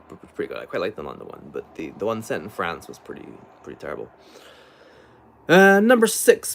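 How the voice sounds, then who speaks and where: Man with very lively, swooping intonation. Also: fairly low pitch. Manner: hesitant, trailing off and rephrasing mid-thought. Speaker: book reviewer hosting a live video. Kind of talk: casual rambling chat to viewers.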